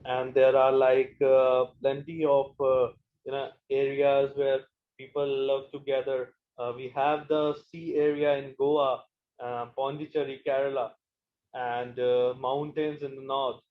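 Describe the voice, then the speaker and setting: A man from India, an event planner talking haltingly with many fillers on an online panel. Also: mid-range voice, little pitch movement, phone-quality sound.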